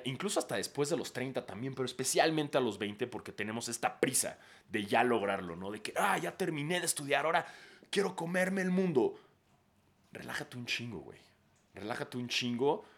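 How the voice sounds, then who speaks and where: A man's voice: mid-range voice, fairly bright tone. A man in his thirties with a Mexican accent, hosting a podcast and giving casual, profanity-laced advice, throwing in slang after almost every phrase. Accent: Mexican Spanish. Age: 30s. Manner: swears freely and throws in "güey" constantly. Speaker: podcast host.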